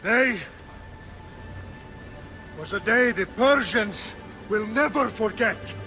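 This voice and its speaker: A man's voice: high voice, slow. An actor playing a proud, defiant Spartan king who rallies his men with declamatory force in a video game cutscene. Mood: rousing, shouted. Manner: declamatory, projecting. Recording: loud battle music behind voice.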